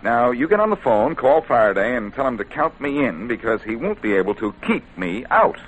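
A man's voice: mid-range voice, big pitch swings, a little fast, highs cut off, close to an everyday voice. An American man playing a cocky, wisecracking amateur detective with a breezy, sardonic delivery in a 1940s-style radio drama. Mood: brisk, determined. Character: Boston Blackie, cocky wisecracking amateur sleuth, quick to needle police. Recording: noisy old radio broadcast recording.

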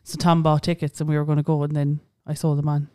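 A woman's voice: sits low, level tone, quick pace, dark tone, clear, resonant tone, dry recording, clean studio sound. An Irish woman in her late twenties, co-hosting a casual podcast chat, chatty, with lots of "like" and swearing. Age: late 20s. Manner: chatty, swearing, says "like" a lot. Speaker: podcast co-host.

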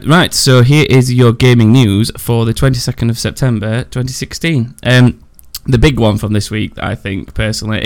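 A man hosting a casual gaming podcast, chatty and rambling, quick to laugh. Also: on the low side. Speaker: podcast host.

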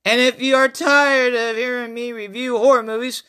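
A podcast host reading a horror story and promotional notes in a halting way, repeating phrases. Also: medium pitch, fairly even intonation, bright, forceful tone, smooth.

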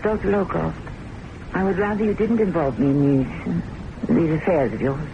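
An actress in an old-time radio drama playing a prim, devoted secretary, formal and precise, quietly disapproving and anxious. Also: female voice, on the low side, animated, moderate pace, vocal fry. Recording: dull, noisy old radio recording.

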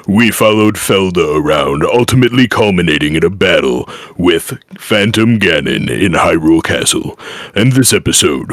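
A man hosting a podcast, introducing the show in a deadpan manner with dry humor. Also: low-pitched, no echo. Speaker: podcast host. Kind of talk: podcast intro, then casual chat.

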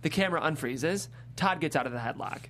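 Animated female script narrator. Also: low voice, a little fast, a little hiss or hum. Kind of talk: reading screenplay stage directions.

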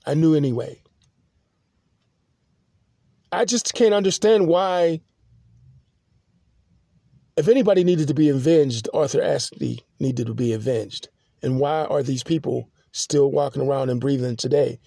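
Halting, rambling man, mellow voice. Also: on the high side, vocal fry, no echo, studio quality. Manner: halting, many restarts and fillers. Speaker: podcast host. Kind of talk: rambling personal monologue.

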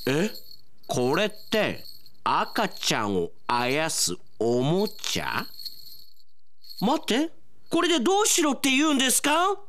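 A man playing an excitable, whiny, hapless young man in a comic radio drama, with theatrical exclamations. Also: high-pitched, slow pace, pauses often, a little hiss or hum, exaggerated voice. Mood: bewildered. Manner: theatrical exclamations, sprinkles in English phrases.

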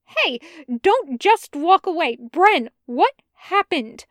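A woman reader voicing a young woman who pleads earnestly and fiercely, her voice climbing high. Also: very animated, slow pace, fairly bright tone, dry recording, studio quality, exaggerated voice. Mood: angry.

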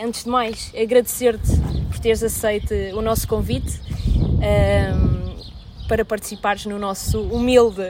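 A woman with a European Portuguese accent and a somewhat high voice hosts a small political videocast. She speaks formally with occasional hesitations. Faint noise runs underneath. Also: darkish tone. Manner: formal introduction with fillers. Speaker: interview host. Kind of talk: hosting a youth political videocast.

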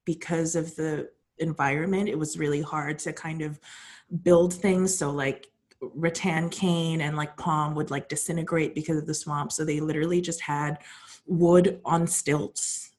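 A woman's voice: low voice, fairly even intonation, measured pace, a little mellow. A woman chatting casually on a podcast, telling a history story with plenty of fillers and restarts.

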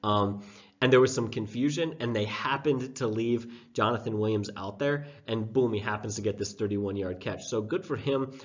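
A young man hosting a fantasy football show, sick with a sore throat and stuffed-up nose, talking in a chatty, self-deprecating way with loose fillers. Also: on the low side. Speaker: fantasy football podcast host. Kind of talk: casual breakdown talk. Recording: dull lo-fi sound.

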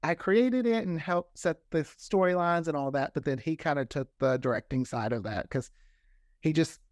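Chatty american guy being interviewed, voice a bit high, very dry sound, tells it all like little stories. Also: normal speed, no room sound. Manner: chatty, anecdotal, acts out quoted dialogue. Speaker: interview guest, fiction podcast creator. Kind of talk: casual interview chat.

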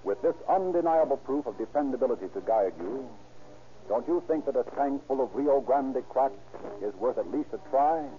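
A man's voice: mid-range voice, lively intonation, moderate pace, faint music behind. A man with an American accent delivering scripted 1930s radio announcing and narration. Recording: tinny, noisy vintage radio broadcast.

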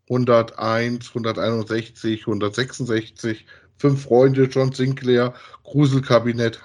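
A man chats casually as a podcast co-host, in colloquial phrases with frequent little tags seeking agreement. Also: medium pitch. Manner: colloquial, often tags sentences with "ja". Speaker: co-host of a podcast about radio plays. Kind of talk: casual conversational chat.

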